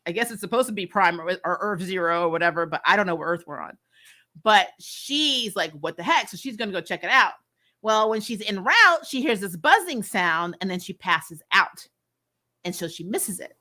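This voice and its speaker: A woman with a bright, forward voice at a middle pitch talks through a review as a host. Her delivery is casual and conversational. She retells things in an animated way, often breaking off into asides. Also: normal speed. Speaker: YouTube host reviewing TV episodes.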